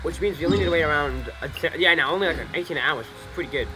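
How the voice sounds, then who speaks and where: A man's voice: fairly high pitch, very fast, music in the background, low-quality recording. A young man giving casual gameplay commentary, rambling, joking and correcting himself as he goes. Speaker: gaming streamer.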